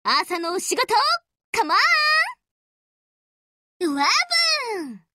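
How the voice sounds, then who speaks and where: A woman's voice: extremely high pitch, very animated, very slow, very noisy, full character voice. A woman voicing a bubbly, doting battleship girl for an anime game, peppy and exclaiming, with sprinkled with English words. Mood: cheerful, excited. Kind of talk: anime game character voice lines, hourly announcements.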